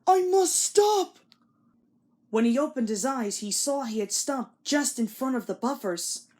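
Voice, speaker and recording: A woman's voice: a little high, moderate pace. A woman giving a scripted read-along narration of a children's story.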